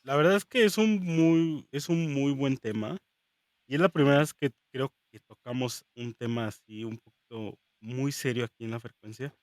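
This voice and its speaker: A man's voice: medium pitch, moderate pace, dry recording, clean studio sound. A man from Mexico hosting a podcast, chatting in a friendly, upbeat way with his guest. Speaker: podcast host.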